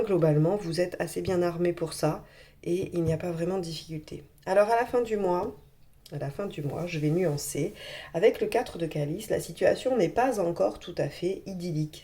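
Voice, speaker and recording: A woman with a hoarse voice from a cold, reading tarot cards to viewers in a gentle, reassuring way with many pauses. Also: on the low side. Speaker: tarot reader.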